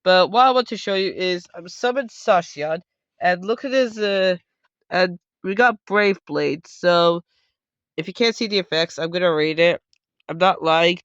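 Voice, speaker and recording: High-voiced man explaining, dull lo-fi sound. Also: unhurried, clean tone, dry recording. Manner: loud, rambling, self-correcting. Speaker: host of a card game tutorial video. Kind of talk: casual unscripted how-to explanation.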